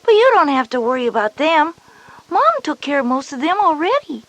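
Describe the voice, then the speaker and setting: Woman as a high-pitched, knowing big sister. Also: very animated, brisk, a bit bright, no room sound, heavily acted. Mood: patient, reassuring. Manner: patient, explanatory. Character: knowing, reassuring older sister, a schoolgirl. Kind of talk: scripted educational audio drama.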